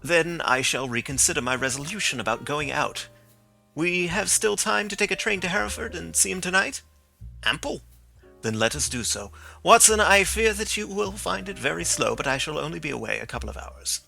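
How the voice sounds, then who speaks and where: A man voicing a keen, brisk male detective in a crisp, measured way. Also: on the high side, animated, bright, forceful tone, faint music behind, pitched above the performer's own voice, exaggerated voice.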